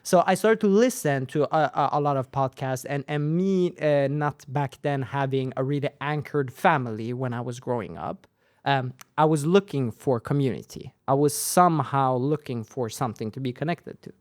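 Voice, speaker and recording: A man's voice: medium pitch, normal speed, dry recording, clean studio sound. A young man with Persian as his first language, raised in Sweden, telling his story in a casual interview and driving points home by repeating phrases. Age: young adult. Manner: animated, tag questions, repeats phrases for emphasis. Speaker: guest, company founder.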